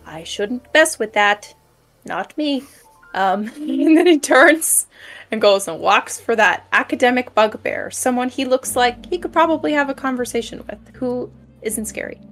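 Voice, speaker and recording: A woman playing a tabletop game describes her character's moves in a casual way. Her voice is a little high. Soft music runs underneath. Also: lively intonation, normal speed. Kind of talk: casual narration of a character's actions.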